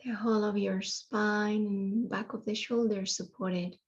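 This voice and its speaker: A woman guiding a yoga nidra meditation in a soothing way, leaving long pauses between phrases. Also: medium pitch, steady tone, lo-fi sound, slight background noise. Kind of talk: guided meditation.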